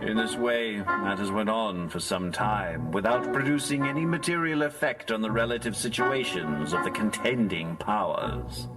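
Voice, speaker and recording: Low-voiced male storybook narrator over music. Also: lively intonation. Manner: measured, storybook delivery. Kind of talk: literary scripted narration in audio drama. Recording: distant voice under loud music.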